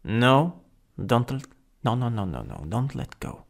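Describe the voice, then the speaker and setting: A man with an Italian accent playing a jealous, flirtatious supervillain in an intimate ASMR roleplay, breathy and often whispering. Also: medium pitch, huge pitch swings, heavily acted. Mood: coaxing, flirtatious. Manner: slow, teasing, lingering delivery. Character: jealous, possessive, teasing flirtatious male supervillain.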